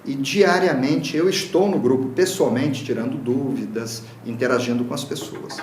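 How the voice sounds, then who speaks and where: A Brazilian man with a Brazilian Portuguese accent giving a public talk as a Reiki teacher, earnest and unhurried, with some halting restarts. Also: mid-range voice, a little mellow, echoey room, slight background noise. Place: lecture room.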